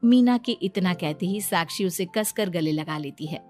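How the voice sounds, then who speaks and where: A woman's voice: lowish voice, expressive, normal speed, a little mellow, soft background music. A woman reading scripted narration for a moral story, as its narrator.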